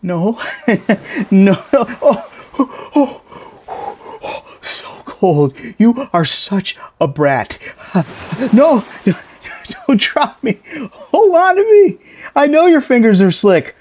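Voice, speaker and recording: A man's voice: extremely high pitch, big pitch swings, takes it slowly, long pauses, a little mellow, slight background noise, heavily acted. A man playing a tiny shrunken boyfriend in an intimate ASMR roleplay, playful, teasing and doting, with theatrical exclamations. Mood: panicked, yelping.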